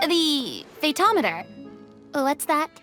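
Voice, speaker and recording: Very high, expressive cheerful young lady. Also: slow, faint music behind, heavily acted. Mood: curious, delighted. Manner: chatty, expressive. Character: gentle, curious, cheerful young woman friend. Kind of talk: anime game dubbing.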